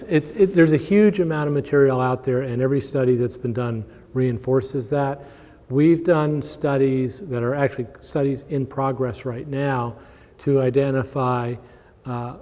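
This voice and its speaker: A man's voice: medium pitch, darkish tone. A man presenting at a conference, talking informally and answering questions from the audience. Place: large indoor conference room with long reverb. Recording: reverberant hall.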